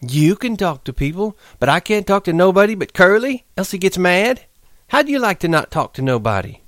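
A man voicing a lonely, restless young woman on a ranch, talking in a quick, coaxing, pleading way. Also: sits high, big pitch swings, fairly bright tone, no room sound, studio quality, voice pushed up. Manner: pleading, fast-tumbling, coaxing.

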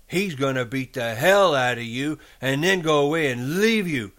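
Man voicing a scornful, slow-drawling giant rabbit. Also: mid-range voice, animated, a bit bright, no echo, clean studio sound, voice pushed up, natural voice. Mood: taunting. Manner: drawn-out, taunting delivery in rural American dialect. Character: scornful, foul-mouthed gigantic imaginary rabbit, male-voiced.

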